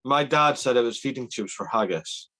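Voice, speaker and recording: A man's voice: medium pitch, expressive, moderate pace, sharp tone. A young Scottish man in his twenties hosting a casual rugby podcast over a video call from a small bedroom, chatty and self-deprecating. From Scotland. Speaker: podcast host. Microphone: video call webcam.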